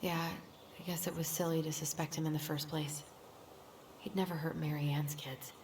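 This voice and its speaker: A woman's voice: low voice, little pitch movement, moderate pace, natural voice. A woman playing a caring, earnest young twin sister in a video game, with an American accent and naturalistic delivery. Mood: earnest, serious. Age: young adult. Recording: game audio with clear background noise.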